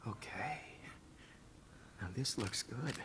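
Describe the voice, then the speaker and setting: An actor playing a menacing, condescending middle-aged teacher turned kidnapper in a video game, creepy and given to flaring rage. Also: male voice, medium pitch, expressive, slow. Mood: smug, sinister. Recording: noisy game capture.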